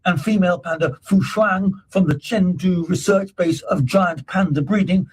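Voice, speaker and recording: A man's voice: high-pitched, normal speed, breathy edge. Man reading a news report.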